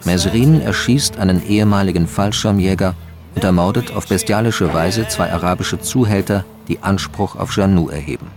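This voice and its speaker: A man's voice: low voice, moderate pace. A man narrating a radio play as a sober, factual chronicler of crimes, in a scripted documentary style. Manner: factual, matter-of-fact. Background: music.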